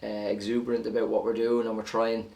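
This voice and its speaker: Male football coach, low gravelly voice, matter-of-fact post-match reaction, slightly off-mic. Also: flat delivery, fairly quick, mellow, far from the mic.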